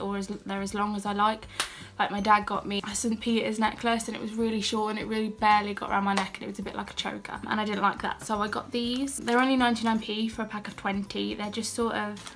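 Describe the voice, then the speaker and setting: A young British woman talking through a cold, her voice a little croaky and her pitch fairly flat. She chats in a relaxed, self-deprecating way, full of fillers and side remarks, with faint music in the background. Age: young adult. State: has a cold, a bit croaky. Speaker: beauty and haul vlogger. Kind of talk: casual haul vlog chat.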